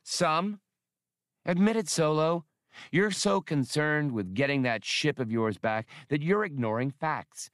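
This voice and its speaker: A man voicing a sharp, challenging, distrustful woman in an audiobook, speaking in a pointed, probing way. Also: fairly high pitch, unhurried, no room sound, studio quality, pitched above the performer's own voice. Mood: accusing. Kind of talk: audiobook character voice.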